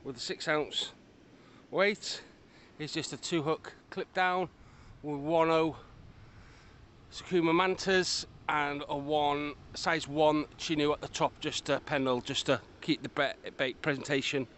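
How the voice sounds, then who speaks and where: A man talking casually to his camera while fishing on the shore at dusk, calmly listing his tackle with small hesitations. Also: fairly high pitch, measured pace. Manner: laid-back, matter-of-fact, lists tackle in detail with small hesitations. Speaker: angler filming his fishing trip. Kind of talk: casual running commentary to camera. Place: outdoors on a windy seashore at dusk. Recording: loud wind and surf over the voice.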